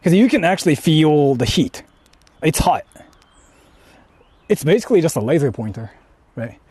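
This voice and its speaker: A man's voice: fairly high pitch, big pitch swings, normal speed, darkish tone, slightly rough, no echo. A young man streaming live while exploring outdoors, talking casually and rambling in asides to his viewers. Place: outdoors exploring a rural area.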